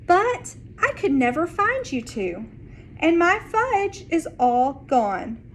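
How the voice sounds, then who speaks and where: A woman plays a bustling, cheerful neighbor lady from a children's storybook. Her voice is high and unhurried, lifting into emphatic, sing-song hospitality as she presses treats on everyone. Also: sing-song, slight background noise, exaggerated voice, poor audio. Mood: cheery, inviting.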